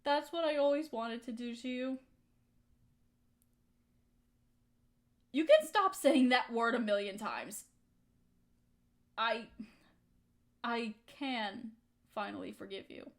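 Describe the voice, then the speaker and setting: A woman acting a hurt young woman softening toward her best friend, in an intimate roleplay audio drama, emotional and halting. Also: a little high. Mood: tender, confessing.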